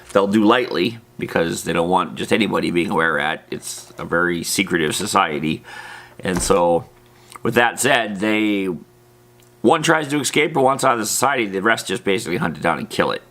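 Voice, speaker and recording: A man's voice: low voice, fairly bright tone. A man presenting a casual, unscripted gaming explainer in an informal, slangy way, trailing off into loose asides. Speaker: presenter of a tabletop gaming video series. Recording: faint background noise.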